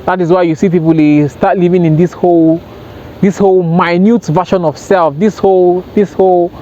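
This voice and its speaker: A man's voice: sits high, darkish tone. A man speaking earnestly and emphatically in an impromptu motivational talk to camera, often repeating himself for stress. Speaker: self-help vlogger. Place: outdoors by water, sitting on a rail. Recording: dull lo-fi sound, outdoor noise.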